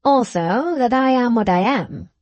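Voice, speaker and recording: A woman voicing a composed male soldier in a novel, speaking in a dry, measured manner. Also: on the high side, animated, a little mellow, no room sound, muffled recording. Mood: composed, wry.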